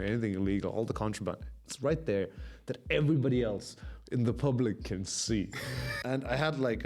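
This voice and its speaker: A young man with a fairly low voice speaks as a podcast guest. His Irish accent is toned down with some American colouring. He tells things in a candid, informal way, with slang and swearing. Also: a little mellow. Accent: softened Irish, somewhat Americanized. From Ireland. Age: young adult. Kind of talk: candid casual storytelling.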